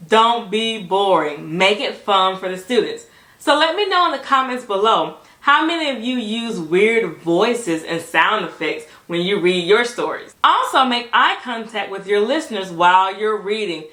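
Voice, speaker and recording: A woman giving instructional talk to fellow educators as a teacher and presenter, phrasing things emphatically and deliberately with rhetorical questions. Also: mid-range voice, animated, pushed and bright, roomy sound.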